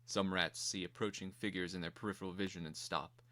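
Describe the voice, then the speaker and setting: Deep-voiced man reading a screenplay dramatically. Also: steady tone, brisk, bright, forceful tone. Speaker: narrator.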